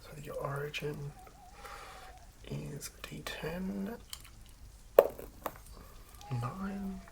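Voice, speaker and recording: An ASMR host speaking in a hushed, halting whisper, musing aloud through unscripted commentary. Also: mid-range voice, creaky, very noisy.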